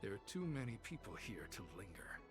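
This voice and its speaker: An actor playing a smooth-talking, devout cult recruiter in a video game, speaking in a formal, persuasive and grandiose manner. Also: male voice, medium pitch, big pitch swings, brisk, lightly acted. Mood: secretive. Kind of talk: scripted video game dialogue. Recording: game music behind the voice.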